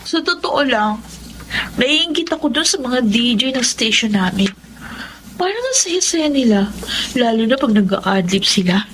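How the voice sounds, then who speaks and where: Young Filipino woman, high-pitched, earnest wistful dreamer, radio drama, faint background noise. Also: big pitch swings, measured pace, fairly bright tone. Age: young adult. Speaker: actress.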